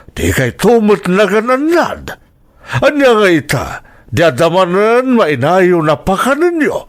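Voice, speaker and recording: Bossy old father-in-law, ilocano accent, slow drawn-out speech, wildly swinging pitch. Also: dry recording, heavily acted. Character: domineering, disapproving older father-in-law. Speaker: actor in a radio drama. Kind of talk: Ilocano radio drama acting.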